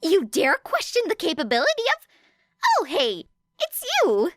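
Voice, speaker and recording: A woman voicing an excitable, boastful teenage girl inventor in an audiobook, exuberant and dramatic. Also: very high-pitched, measured pace, no room sound, studio quality, pitched above the performer's own voice, heavily acted. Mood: excited, indignant.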